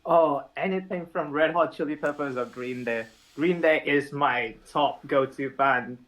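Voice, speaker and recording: A young man from India chats casually as a podcast guest. His voice sits a little on the high side. He talks in a thoughtful, easygoing way and often restarts his sentences. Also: measured pace. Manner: relaxed, thoughtful, with frequent fillers and restarts. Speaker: podcast guest, app founder.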